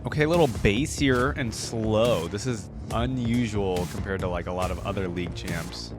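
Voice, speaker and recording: A man's voice: on the low side, normal speed. A man giving casual, musing reaction commentary as the host of a reaction video. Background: music from the watched video.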